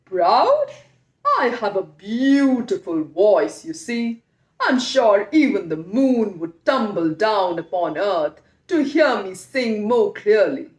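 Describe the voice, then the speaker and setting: A woman voicing a conceited, stubborn male frog for a children's story, boasting pompously with drawn-out emphasis. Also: medium pitch, big pitch swings, voice pushed down. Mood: smug. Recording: echoey room.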